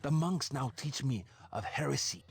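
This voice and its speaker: A man's voice: medium pitch, expressive, normal speed, gravelly. A man playing a studious, proud monk in a video game, with a formal, earnest delivery. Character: studious monk proudly reporting his religious learning. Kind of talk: scripted video game voice acting.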